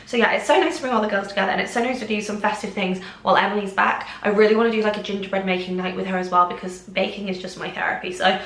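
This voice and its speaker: A woman's voice: mid-range voice, steady tone, rapid-fire, few pauses, fairly bright tone. Young woman, from England, casual vlog chat, bubbly, rambling, snuffly, echoey kitchen. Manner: bubbly, rambling, lots of "like" and "oh my gosh". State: snuffly, a bit congested. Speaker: vlogger. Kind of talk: casual chatty vlog to camera. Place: home kitchen, indoors. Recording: echoey room.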